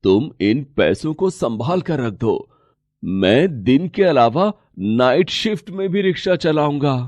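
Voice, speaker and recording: Poor devoted father in a moral tale, acted by a man: earnest, reassuring, slow and deliberate. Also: mid-range voice, big pitch swings, a little mellow, dry recording, pitched below the performer's own voice. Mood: earnest, resolute. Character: poor, determined rickshaw-driver father, devoted to his son. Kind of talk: Hindi moral story dialogue.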